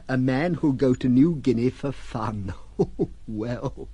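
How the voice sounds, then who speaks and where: An actor playing a puzzled, civil trading merchant, recounting events in a hesitant, conversational way in an audio drama. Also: male voice, mid-range voice, takes it slowly, warm tone, husky, no room sound. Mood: amused. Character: puzzled, civil male trading merchant Mister Tesman, chuckling raconteur. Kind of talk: audio drama narration in character.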